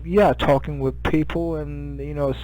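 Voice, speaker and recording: A middle-aged man with a Japanese accent chatting as an amateur radio operator, halting and often searching for words. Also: a little high, fairly even intonation, a little mellow, low-quality recording. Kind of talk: casual radio contact chat.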